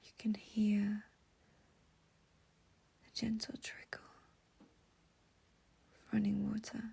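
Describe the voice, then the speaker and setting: Female meditation guide, soothing and intimate, very breathy whisper with a soft, mellow tone. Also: medium pitch, completely flat delivery. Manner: soothing, slow, gentle, intimate.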